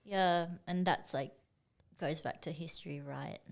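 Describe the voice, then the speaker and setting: A young woman from Singapore, guest artist chatting casually in an interview, relaxed and full of "like" and "um". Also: low voice, measured pace, gravelly. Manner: relaxed, many fillers like "like" and "um".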